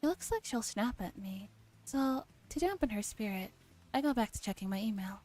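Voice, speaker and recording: Female game streamer, relaxed mellow tone, playful dialogue read-aloud for ASMR, faint music behind. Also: mid-range voice, normal speed. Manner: playful, acts out dialogue while reading. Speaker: streamer reading a visual novel aloud.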